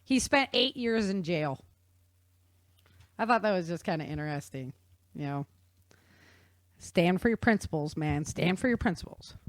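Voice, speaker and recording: A woman's voice: lowish voice, animated, normal speed, pauses often, dry recording. A woman presenting an informal explanatory science talk in a casual, conversational, slangy way. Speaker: author and presenter.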